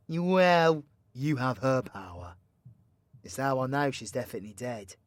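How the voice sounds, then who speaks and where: A man's voice: medium pitch, no room sound, lightly acted. A man playing a cocky, foul-mouthed, playfully teasing supervillain mentor in an audio roleplay, with a British accent and a slangy, swaggering, theatrical delivery. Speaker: voice actor. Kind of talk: villain ASMR audio roleplay, addressing the listener.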